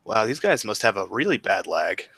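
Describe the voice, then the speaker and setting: A man in his twenties hosting a podcast, asking questions in a relaxed, laid-back way. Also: lowish voice, normal speed, vocal fry, slightly rough, no echo. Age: 20s. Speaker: podcast host. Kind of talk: casual podcast chat.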